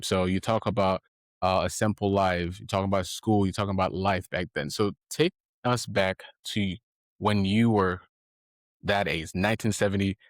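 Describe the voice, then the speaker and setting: A man hosting a podcast interview, speaking in an earnest, halting way, with many restarts. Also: lowish voice, dry recording, clean studio sound. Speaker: podcast host.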